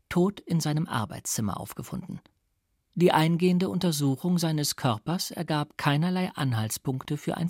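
A man's voice: medium pitch, no echo. Man reading literary fiction, dry studio sound. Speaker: audiobook reader. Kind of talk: literary scripted narration.

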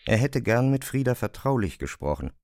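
A man giving a dramatic literary narration for an audio drama, expressive and precise in his diction. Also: mid-range voice, a little fast, a little hiss or hum.

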